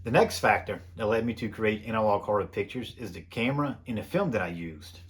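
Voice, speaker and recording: A middle-aged American man reading a scripted voice-over in a measured, plain explanatory way, as the host of a photography video. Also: fairly low pitch, breathy edge. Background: background music.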